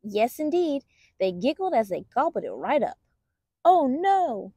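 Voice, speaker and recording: A woman reading a children's picture book aloud as a storytime host, in an expressive, deliberate storyteller's manner. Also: fairly high pitch, slightly rough, no room sound, clean studio sound.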